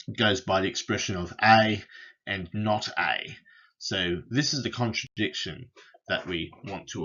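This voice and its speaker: A man lecturing on philosophy over slides, unhurried, with frequent fillers and restarts. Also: on the low side, fairly bright tone, highs cut off. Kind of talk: explaining logic over slides.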